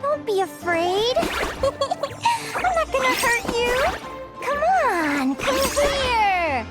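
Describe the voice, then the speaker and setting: Bubbly high-voiced young advisor. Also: female voice, very slow, full character voice. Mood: coaxing. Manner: bubbly and animated, given to giggles. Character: cheerful young woman guild advisor, eager and curious. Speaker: guild advisor. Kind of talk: video game voice acting. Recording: game audio with clear music. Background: game music.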